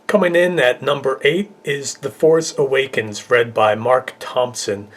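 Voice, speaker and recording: A man's voice: mid-range voice, measured pace. A man hosting a video, presenting a list in a casual, scripted way. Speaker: video host.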